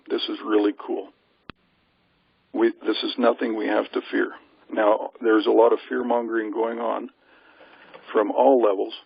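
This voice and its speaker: Gravelly, low-voiced man on a conference call. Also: dry recording. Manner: emphatic, assured, addresses listeners as folks, rhetorical questions. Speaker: speaker on a group conference call. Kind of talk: informal briefing monologue. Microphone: telephone conference call. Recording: lo-fi conference phone line.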